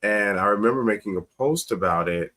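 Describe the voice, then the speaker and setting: A man's voice: on the low side, brisk, bright, forceful tone. A man in an interview, telling personal stories conversationally as a guest and acting out quoted dialogue with emphasis. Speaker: talk show guest, teacher. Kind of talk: conversational storytelling on a talk show.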